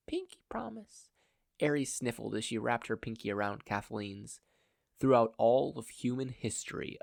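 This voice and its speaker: A man's voice: on the low side, creaky, no room sound, studio quality. A man narrating a scripted story as the reader of an amateur audio fiction. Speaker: podfic narrator.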